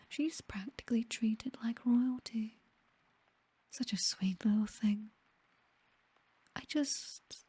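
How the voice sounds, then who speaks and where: A woman with a British accent playing a possessive, teasing vampire mistress in an intimate ASMR roleplay, slow and breathy, mostly whispered, softly commanding and seductive, close on the microphone. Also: medium pitch, no echo. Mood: condescending reassurance. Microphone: close microphone, dry.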